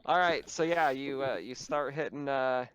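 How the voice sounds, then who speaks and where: Smooth-voiced man running a tabletop game. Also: medium pitch, moderate pace, fairly bright tone. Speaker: game master. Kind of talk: improvised game narration and rules talk.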